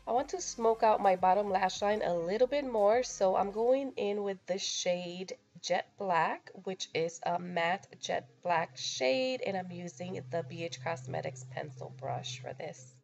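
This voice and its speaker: A woman's voice: lowish voice, expressive, a little slow, gravelly, few pauses, a little mellow, lo-fi sound, soft background music. A young woman with a nasal voice from a cold, talking casually through a makeup tutorial voice-over. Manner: casual, chatty, a little crude. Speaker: beauty vlogger.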